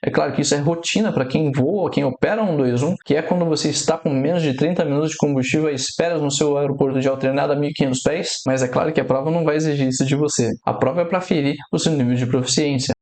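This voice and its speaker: A Brazilian man, an aviation English teacher, explaining exam mistakes in a steady instructional way. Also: on the high side. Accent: Brazilian Portuguese, no fixed regional accent. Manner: explains steadily, quotes radio phrases slowly and deliberately.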